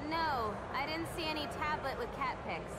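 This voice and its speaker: A chatty young student at a coat check played by an actress, with a high voice and a gossipy manner. It is video game acting, set against loud ambient noise. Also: female voice, moderate pace, lightly acted.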